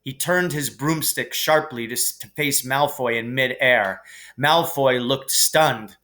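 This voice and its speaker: A man reading a children's novel aloud as expressive story narration, like a teacher reading to a class. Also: medium pitch, slow.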